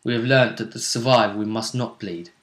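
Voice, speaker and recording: A man voicing a calm, cryptic warrior monk from the wilds in a tabletop role-play, speaking in measured, solemn, terse phrases. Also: on the low side, natural voice. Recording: roomy, echoey room sound.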